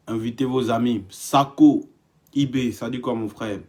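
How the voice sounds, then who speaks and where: A man's voice: mid-range voice, fairly even intonation, a little slow, warm tone. A man from Africa, live-streaming from a home, greeting viewers by name and growing emphatic and impassioned. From West Africa. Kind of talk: casual live stream monologue. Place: home indoors.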